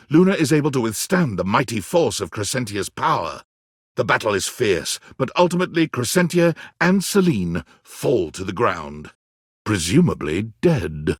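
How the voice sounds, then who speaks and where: Expressive, husky man narrating a game. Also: mid-range voice, dry recording, clean studio sound. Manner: theatrical, deliberate, storybook relish. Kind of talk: dramatic scripted narration.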